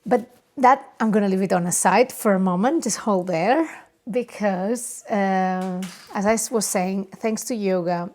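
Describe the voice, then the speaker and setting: A woman's voice: mid-range voice, a little slow. A woman from Spain with a Spanish accent, a non-native English speaker, hosting a personal podcast and talking unscripted, often searching for words. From Barcelona area, Spain. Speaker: podcast host. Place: home or private studio.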